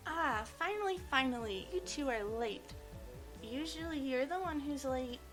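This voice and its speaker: A woman's voice: sits high, lively intonation, a little slow, a bit bright, soft background music, pitched above the performer's own voice. A woman voicing a brash, tomboyish teenage class leader in a visual novel, cocky and teasing. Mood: mock scolding.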